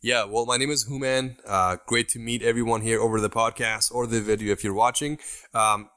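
A man's voice: mid-range voice, steady tone, a little fast, pushed and bright. A man in his twenties from Iran, a podcast guest telling his story steadily with frequent ums. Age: 20s. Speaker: guest YouTuber. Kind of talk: interview answers.

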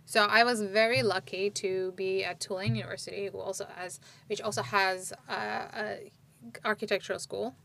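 A woman in her forties who grew up in Turkey, speaking in a relaxed, conversational way. She rambles a little, circles back and restarts her sentences as she thinks aloud, with an everyday mid-range voice. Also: mid-range voice, normal speed, creaky. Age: 40s. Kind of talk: casual conversation.

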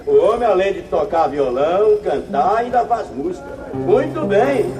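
Echoey, high-voiced bar rowdy. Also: male voice, lively intonation, moderate pace. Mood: curious, goading. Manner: familiar, goading. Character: rowdy, teasing male barroom regular. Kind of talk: 1950s radio serial acting. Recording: echoey old radio recording, bar crowd, music.

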